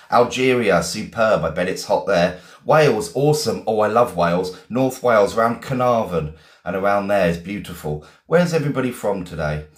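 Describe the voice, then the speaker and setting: A man's voice: fairly low pitch, roomy sound. A man with an English accent, a teacher greeting viewers one by one in a chatty live-stream lesson. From England, Essex.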